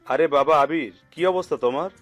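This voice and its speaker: A man's voice: on the high side, brisk, a bit bright, lightly acted. A man playing a kindly, generous middle-aged uncle in an audio story, hearty and reassuring. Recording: music under the voice.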